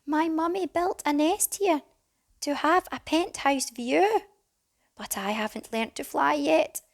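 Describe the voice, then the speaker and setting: A woman's voice: high voice, slow, vocal fry, pitched above the performer's own voice, full character voice. A woman voicing a frightened little baby dove, trembling and unsure, in a children's storybook. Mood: timid, worried.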